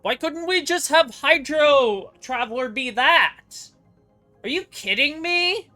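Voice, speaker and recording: A man's voice: very high voice, expressive, takes it slowly, sharp tone. A man giving casual, exasperated reaction commentary over a video game, often complaining. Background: faint game music.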